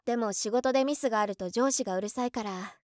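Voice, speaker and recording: A woman's voice: fairly high pitch, fast, no room sound, clean studio sound, lightly acted. A woman playing an overworked, emotional office worker in her early twenties, talking to herself in an audio drama monologue. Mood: determined, nervous.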